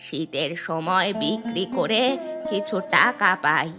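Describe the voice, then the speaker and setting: A woman voicing a scolding old village grandmother in a cartoon, with a sharp, rustic way of talking. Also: fairly low pitch, animated, slow pace, music in the background. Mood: grumbling, matter-of-fact. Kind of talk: cartoon story dialogue.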